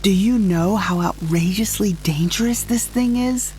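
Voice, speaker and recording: A woman playing a calm, bossy superhero scientist with a wry, unflustered manner in an audio drama. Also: mid-range voice, a little slow, faint music behind, natural voice, rough recording. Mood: calm, stern.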